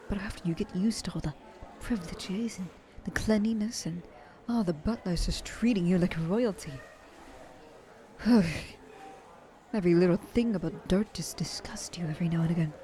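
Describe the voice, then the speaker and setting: A woman plays an ancient, very rich vampire lady in an ASMR roleplay. Her voice is soft and mellow, with genteel, haughty courtesy. She speaks straight to the listener over some background noise. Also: fairly low pitch, lightly acted. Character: thousand-year-old wealthy female vampire, haughty yet courteous.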